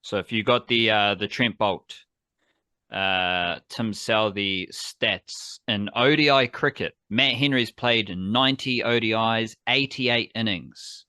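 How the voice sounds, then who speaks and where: A man's voice: fairly low pitch, takes it slowly, sharp tone, clear, resonant tone, no echo, studio quality. A man hosting a casual sports podcast, rambling enthusiastically and correcting himself as he goes. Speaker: podcast host.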